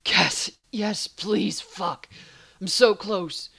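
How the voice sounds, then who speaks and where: A woman's voice: mid-range voice, drawn-out words, gravelly, bright, forceful tone, slightly rough, exaggerated voice. A woman voicing a blunt, flustered man in an audiobook romance, urgent and impatient, between whining and growling. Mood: urgent, pleading. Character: impatient, flustered, gruff man, pleading with his lover.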